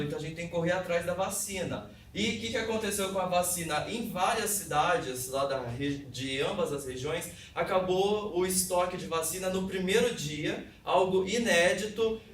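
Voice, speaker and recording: A man with a Brazilian accent giving an academic presentation, explaining in a halting way and correcting himself as he goes. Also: high-pitched. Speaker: presenter of a research paper. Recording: echoey room, distant mic, faint noise.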